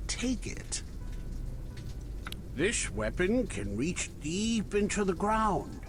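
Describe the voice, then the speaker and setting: A man voicing a chatty, obliging weapons merchant from the musket era in a video game, talking in unhurried salesman patter. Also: a little high, background noise, exaggerated voice. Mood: helpful. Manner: unhurried, conversational salesman patter with dramatic pauses. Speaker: game shopkeeper character.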